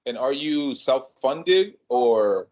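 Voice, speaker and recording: A man's voice: medium pitch, expressive, very slow, darkish tone. A man hosting a podcast, asking direct conversational questions. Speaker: podcast host, interviewer. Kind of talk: interview questions. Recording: slightly dull podcast audio.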